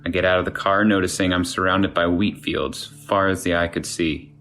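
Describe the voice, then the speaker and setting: A man narrates a scripted creepy tale in the first person. His voice is notably low. Quiet music runs underneath the reading. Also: fairly even intonation, normal speed. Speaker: guest narrator reading a submitted scary story. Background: background music.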